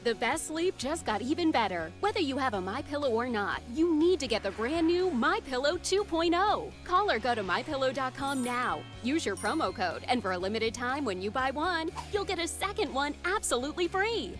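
A woman's voice: high-pitched, animated, normal speed, few pauses, soft background music. A woman reading an upbeat scripted pillow ad as announcer. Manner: bright, upbeat promo delivery. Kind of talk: scripted ad read.

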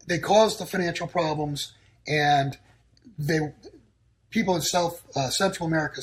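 A man speaking as a guest on a talk show, giving blunt, declarative warnings in short, clipped sentences. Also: fairly high pitch. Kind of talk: casual talk-show answers. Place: in Texas.

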